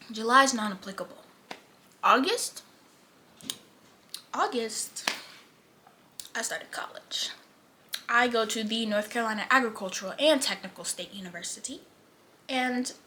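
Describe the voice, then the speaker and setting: Bright-voiced chatty eighteen-year-old woman. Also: medium pitch, a little hiss or hum. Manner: chatty, many "like" fillers, drifts between lively and hushed. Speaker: vlogger. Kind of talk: casual chat.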